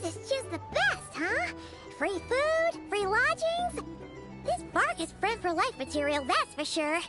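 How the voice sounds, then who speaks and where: Tiny excitable companion sprite, very high and bouncy voice, game music behind. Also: female voice, exaggerated voice. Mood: content. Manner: refers to herself in third person, quick mood swings. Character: tiny floating female companion, chatty and excitable. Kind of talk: video game cutscene voice acting. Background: game soundtrack music.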